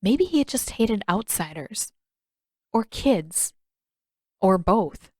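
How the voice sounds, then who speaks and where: A woman's voice: medium pitch, big pitch swings, slow, no echo. Woman reading a story, very dry sound. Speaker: fan fiction author and reader. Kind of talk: scripted story narration.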